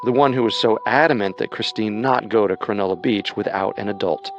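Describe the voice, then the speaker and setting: A man narrating a scripted true-crime story with measured, dramatic storytelling. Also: lowish voice, highs cut off, noisy background.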